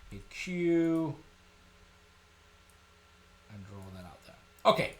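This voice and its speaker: A middle-aged man from Denmark with a Danish accent, hosting a casual live software tutorial, thinking aloud in an informal, self-deprecating way. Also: on the high side, sing-song, slow pace. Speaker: tutorial live stream host.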